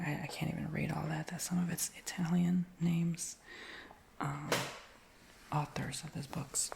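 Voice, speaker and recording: A woman whispering casually in a show-and-tell video, rambling with lots of fillers and slowly reading out labels. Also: sits low, slight background noise. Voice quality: soft whisper with creaky edges. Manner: reads names and titles slowly.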